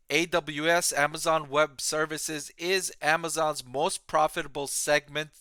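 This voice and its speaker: A man presenting explanatory financial commentary as an analyst, speaking in measured, emphatic, deliberate phrases. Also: a little high, pushed and bright, clean tone, no room sound, studio quality. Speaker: financial analyst and video host. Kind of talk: explanatory commentary on an earnings call.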